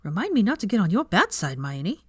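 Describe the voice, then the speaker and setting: A woman reader plays a blunt young woman teacher in a storybook. She is brash and teasing, quick to needle people, with a lively, raised pitch and a dry, close sound. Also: slightly rough, dry recording. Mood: wry.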